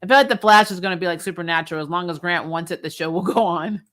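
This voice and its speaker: A woman's voice: fairly low pitch, fast, a bit bright. A woman hosting a fan recap show, chatting casually and bluntly about TV with loose, informal phrasing and some swearing. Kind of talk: casual livestream chat about TV shows.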